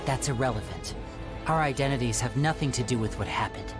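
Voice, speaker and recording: An actor playing a composed, defensive young male stage magician on trial in a video game, smooth and guarded. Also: medium pitch, fairly quick, natural voice. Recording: loud music behind the voice.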